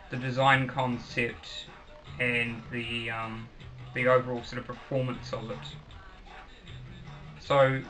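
A young man with a New Zealand accent, giving a casual, hesitant hobbyist demonstration with frequent pauses. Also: mid-range voice, fairly bright tone. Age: young adult. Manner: hesitant, halting delivery with pauses. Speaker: hobbyist video maker. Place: small cluttered room at home, indoors. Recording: noisy amateur home recording.

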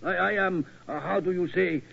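An actor playing an anxious, deferential older German castle keeper, with a German accent and halting foreign phrasing, in 1940s radio style. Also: male voice, high-pitched, gravelly, a bit bright, breathy edge, full character voice. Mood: worried, pleading. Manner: halting, inverted foreign syntax. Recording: muffled, phone-like old radio recording.

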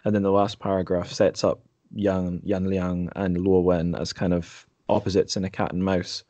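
Man, very low pitch, podcast interviewer, measured conversational delivery, dry recording. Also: flat delivery, warm tone, no room sound. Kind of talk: conversational book discussion.

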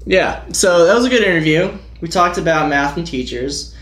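A man's voice: on the high side, moderate pace. A young adult man co-hosting a casual podcast, bantering with dry jokes. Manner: joking, deadpan bits. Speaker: podcast co-host. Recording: echoey room.

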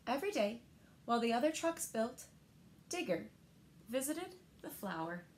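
A woman in her thirties reading a picture book aloud to children in a gentle, deliberate manner, pausing to ask them questions. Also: on the high side, big pitch swings, a little hiss or hum. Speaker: library storyteller. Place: indoors, small living room by windows.

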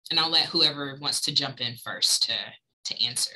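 A woman with a very low voice moderates a panel over a video call. Her tone is strikingly bright and crisp. She keeps her turns short and polite, passing the floor from speaker to speaker. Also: normal speed, rough recording. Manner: brief and polite, handing off to each speaker.

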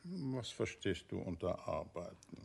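An actor playing a genial, urbane private detective and teasing husband in a German radio play, conversational and playful. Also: male voice, deep voice, sing-song, unhurried, gravelly, a little mellow, breathy edge, exaggerated voice. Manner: playful, conversational, hesitating for effect.

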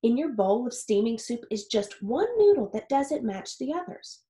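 A woman in her thirties reading a picture book aloud like a teacher, expressively and with deliberate phrasing for young listeners. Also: a little high, expressive, dark tone. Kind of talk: expressive children's read-aloud. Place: small home office or study with wooden shelves. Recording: roomy, echoey indoor sound.

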